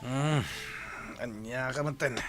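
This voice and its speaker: An actor with a Filipino accent playing a lazy, irritable young boyfriend in a radio drama, sighing and grumbling dismissively. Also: male voice, medium pitch, takes it slowly, soft background music, lightly acted. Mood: irritated.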